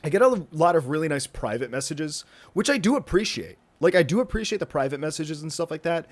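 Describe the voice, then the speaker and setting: A man's voice: on the high side, lively intonation, fast. A man in his twenties hosting a solo podcast, rambling casually with lots of fillers, restarts and the odd swear word. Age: 20s. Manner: rambling, self-interrupting, many fillers and restarts; casual, swears, says dude and bro. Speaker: podcast host. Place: indoors, with a thunderstorm rolling in outside.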